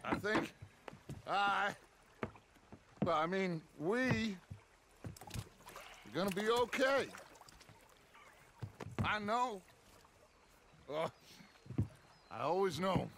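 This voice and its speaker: An actor playing a grandiose, genial outlaw gang leader in a Western video game, theatrical and self-assured. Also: male voice, high-pitched, expressive, very slow, long pauses, full character voice. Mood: reflective, reassuring. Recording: loud water and boat noise.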